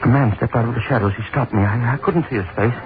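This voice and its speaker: A man's voice: mid-range voice, quick pace, lightly acted. An actor playing a bloodthirsty hangman tormented by madness, narrating obsessively in an old-time radio horror style. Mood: frantic terror. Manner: melodramatic, repeating phrases obsessively. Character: bloodthirsty state hangman, gloating then crazed with guilt. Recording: scratchy, tinny old radio broadcast, heavy noise.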